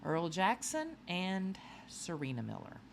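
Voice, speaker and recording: A woman's voice: lowish voice, wildly swooping pitch, slow pace, vocal fry, a little hiss or hum, full character voice. A woman voicing an eager, clever boy who works as a secret agent in a children's storybook.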